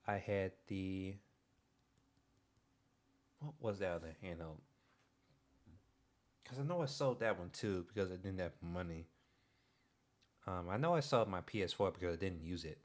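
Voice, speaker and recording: A young man streaming, chatting casually in a soft-spoken, hesitant way with many pauses and restarts. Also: sits low. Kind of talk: casual livestream chat.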